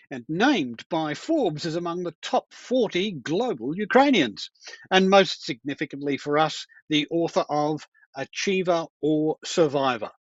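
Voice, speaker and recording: A man hosting a webinar, introducing the next guest in a measured, formal way. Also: a little high, fairly bright tone, no room sound, lo-fi sound. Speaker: webinar host.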